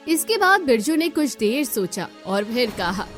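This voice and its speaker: A woman's voice: fairly high pitch, very animated, unhurried, a bit bright, faint music behind. A woman reading scripted narration for a cartoon moral story.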